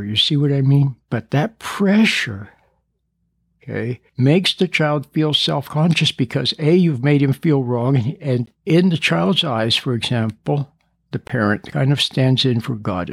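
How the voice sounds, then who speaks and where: A man's voice: medium pitch, creaky, breathy edge, no echo. A man in his seventies who stutters at times, giving an earnest talk to parents, repeating and rephrasing his points. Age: 70s. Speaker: speaker on parenting. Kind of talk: recorded talk addressing parents.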